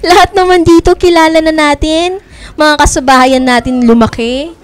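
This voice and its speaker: A woman playing a sweet, playful young woman with a Filipino accent in a radio drama, girlish and quick to protest. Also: sits high, steady tone, close to an everyday voice. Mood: teasing. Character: sweet, bantering, inexperienced young woman in love. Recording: dry studio with music bed.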